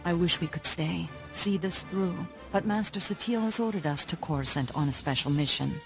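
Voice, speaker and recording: Actor as a grave Jedi master, gravelly, serious, music clear behind. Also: medium pitch, brisk, close to an everyday voice. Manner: serious, cautioning.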